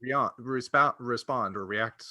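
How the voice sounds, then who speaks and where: A man co-hosting a casual fan discussion, thinking aloud in a halting way and circling back over phrases. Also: medium pitch, pushed and bright, no echo, clean studio sound. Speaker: podcast co-host.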